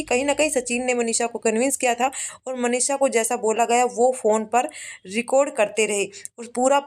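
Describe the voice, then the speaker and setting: A woman, commenting to her viewers as a vlogger who presents recordings. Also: a little high.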